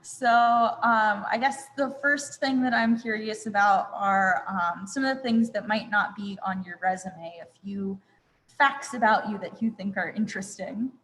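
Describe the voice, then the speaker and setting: A woman's voice: medium pitch, unhurried, fairly bright tone, big-room sound, rough recording. A woman hosting a web chat interview over a video call, chatty and friendly, with frequent ums. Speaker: host.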